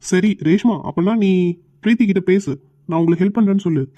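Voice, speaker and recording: A woman putting on the voice of a calm, level-headed young man. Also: medium pitch, fast talker, dry recording, pitched below the performer's own voice, natural voice.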